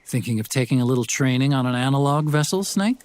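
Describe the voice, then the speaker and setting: An actor playing an earnest tech specialist in a video game, explaining things to his partner in a slightly husky voice. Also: mid-range voice, animated, quick pace, natural voice. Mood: conversational. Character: earnest male tech-savvy support partner briefing an agent. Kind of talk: video game cutscene acting.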